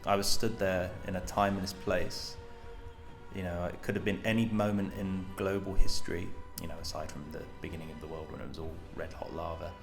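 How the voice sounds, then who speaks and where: Low voiced guy doing slow dreamy narration over soft music. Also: flat delivery, creaky. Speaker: vlogger narrating his climb.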